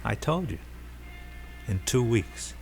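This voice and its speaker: A man's voice: medium pitch, expressive, slow, vocal fry, a little mellow. An American actor in a radio drama playing a brash, restless army buddy, a self-assured ladies' man who speaks in emphatic, colloquial phrases. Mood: matter-of-fact, firm. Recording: noisy old radio drama recording.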